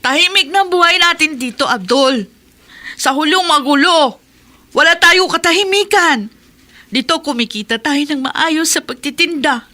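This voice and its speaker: A woman's voice: high-pitched, normal speed, sharp tone. An actress in a radio drama playing an anxious young married woman who pleads with her husband. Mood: pleading. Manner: pleading, uneasy.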